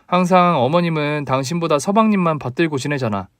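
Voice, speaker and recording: A young daughter-in-law who stays courteous yet pushes back firmly, her voice lifted noticeably higher, as a man puts her on. The delivery is earnest and a little indignant. The sound is dry and close. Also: fairly quick, a bit bright, clear, resonant tone, no echo, close to an everyday voice. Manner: polite, indignant, pleading. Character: young wife, polite but firm daughter-in-law.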